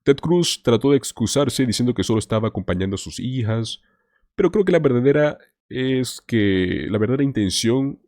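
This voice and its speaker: A man's voice: medium pitch, warm tone, no room sound, clean studio sound. A man hosting a political commentary show, talking to viewers in an emphatic, scripted way. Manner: emphatic, addresses viewers as "señores".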